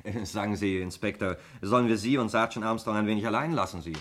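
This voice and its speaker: An actor playing a glib, needling heir under suspicion, breezy and ironic, in a German 1960s radio play. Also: male voice, on the low side, fast, a bit bright, slight background noise, natural voice. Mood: polite, needling.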